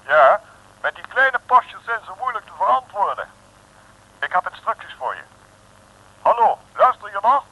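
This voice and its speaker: A high, swooping, jovial police chief. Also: male voice, sing-song, normal speed, pauses often, bright, forceful tone, full character voice. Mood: breezy, pompous. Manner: hearty, chummy, pompous. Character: breezy, jovial male police chief, pompous and careless. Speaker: actor playing a superior officer. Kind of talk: 1960s comic radio serial acting. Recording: muffled old radio recording.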